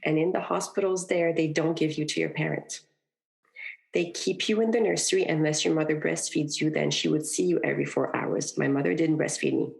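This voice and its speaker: Gravelly-voiced woman speaking earnestly. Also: deep voice, steady tone, brisk, darkish tone, rough recording. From Egypt. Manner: earnest, reflective, uses many fillers like "you know" and "right". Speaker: podcast guest, parenting coach. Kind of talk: conversational interview.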